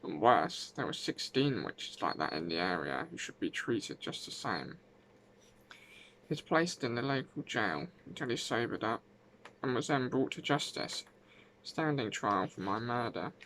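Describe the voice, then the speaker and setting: A man voicing the ghost of a gentle, wronged herbwoman in her eighties, telling a folk tale in an unhurried, wry way. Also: mid-range voice, very animated, a little hiss or hum, poor audio. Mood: mournful, reproachful. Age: 80s. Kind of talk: first-person folk tale narration.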